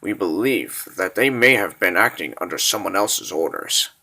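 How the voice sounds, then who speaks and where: A man playing a sinister, calculating military spymaster in a fantasy comic dub, speaking in a measured, insinuating hush. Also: wildly swooping pitch, creaky, heavily acted. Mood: grave, measured. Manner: measured, insinuating, low and hushed.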